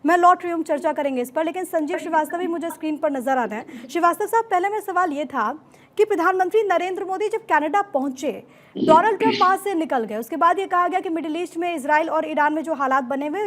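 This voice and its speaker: High-voiced woman news anchor. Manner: pressing, interrupting with pointed questions. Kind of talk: live TV news debate moderation.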